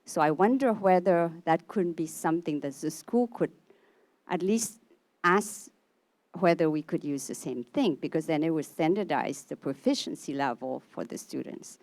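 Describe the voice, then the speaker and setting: A middle-aged woman, a native Vietnamese speaker, talks from her seat in a conference audience through a handheld microphone. Her voice sits low for a woman, and her talk is chatty and hesitant, dotted with uhs and ums. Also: moderate pace, darkish tone. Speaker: audience member asking a question.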